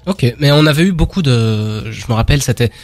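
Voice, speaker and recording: A man hosts a casual music talk. He speaks briskly in a mid-range voice, recorded close and dry with no room sound. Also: mid-range voice, animated, no echo. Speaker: talk show host.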